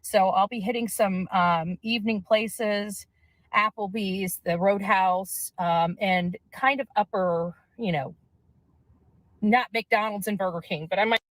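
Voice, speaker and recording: A woman's voice: medium pitch, fairly even intonation, measured pace, no echo, poor audio. Woman vlogger, dry close sound. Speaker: amateur investigator vlogger. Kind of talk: casual on-location update to viewers.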